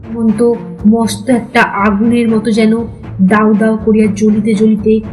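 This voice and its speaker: A woman's voice: on the high side, little pitch movement, measured pace, a little mellow, faint music behind. A woman narrating a scripted literary story in a dramatic storytelling style.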